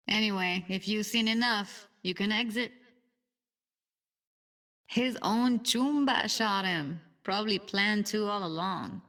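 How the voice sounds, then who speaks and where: A woman's voice: mid-range voice, a bit bright. An actress voicing a streetwise young techie woman in a cyberpunk video game, talking in a casual, slangy, wry way. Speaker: video game character actor. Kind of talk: naturalistic game voice acting.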